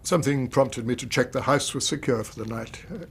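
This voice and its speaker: Husky, creaky older British banker. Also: male voice, medium pitch, a bit bright. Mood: troubled recounting. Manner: formal, measured, distressed. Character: anxious, upright older Victorian banker, weary indulgent father. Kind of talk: BBC radio drama acting.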